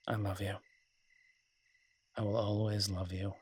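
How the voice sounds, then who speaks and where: Husky, low-voiced romantic werewolf boyfriend, played by a man. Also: bright, forceful tone, heavily acted. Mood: tender. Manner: tender, teasing, halting conversational delivery. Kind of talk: romantic roleplay audio, intimate one-sided dialogue.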